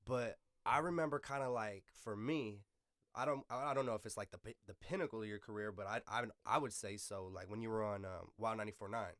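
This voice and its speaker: A man's voice: fairly low pitch, a little fast, fairly bright tone, no room sound, studio quality. A man hosting a podcast, chatting in a casual, slangy way like he is talking with an old friend. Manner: slangy, informal, swears casually. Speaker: podcast host. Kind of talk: casual interview chat among friends.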